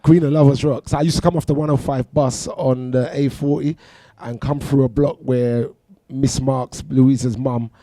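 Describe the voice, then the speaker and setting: A middle-aged man with a London accent, chatting as a guest on a radio show and telling animated stories about his career. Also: medium pitch, moderate pace, no room sound. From London, England. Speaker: guest DJ and producer. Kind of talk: casual radio interview. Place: radio studio. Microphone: studio mic.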